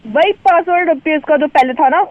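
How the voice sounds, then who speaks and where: A woman talks casually over the phone. She sounds annoyed, and her voice is extremely high. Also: a little fast, dry recording, narrow, tinny audio. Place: phone call.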